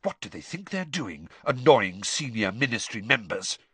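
A man's voice: high-pitched, sing-song, normal speed, sharp tone, no room sound, voice pushed up, exaggerated voice. A man voicing a pompous, officious young man in a storybook. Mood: disapproving.

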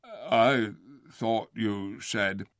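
A man voicing a slow-witted male bear who speaks in a slow, puzzled grumble. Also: fairly low pitch, steady tone, a bit bright, dry recording, clean studio sound, full character voice.

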